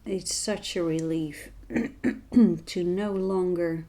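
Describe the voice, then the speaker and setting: Slow, soft-spoken hoarse woman. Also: on the low side, fairly even intonation. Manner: soft-spoken, unhurried, drifting into quiet near-whispers. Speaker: art tutorial host and voice teacher. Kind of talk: calm painting tutorial narration.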